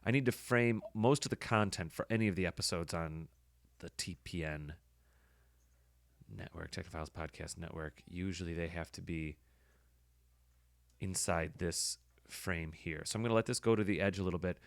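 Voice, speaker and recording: A man with a hoarse voice, casually talking through his editing work as a podcast host, thinking aloud with fillers. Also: sits low, moderate pace, no echo. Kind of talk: casual screen-recording commentary.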